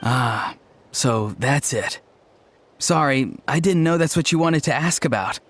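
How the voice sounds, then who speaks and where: An actor playing an earnest, odd young tribesman in a video game, deadpan and matter-of-fact. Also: mid-range voice, measured pace. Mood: sincere.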